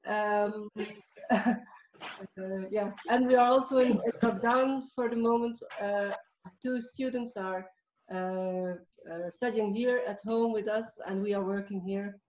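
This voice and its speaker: A woman from Belgium in her fifties, introducing herself on a video call in a halting way with many pauses. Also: mid-range voice, fairly even intonation, a little mellow. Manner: hesitant, many fillers. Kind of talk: casual chat. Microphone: video call laptop mic. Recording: echoey, dull video call audio.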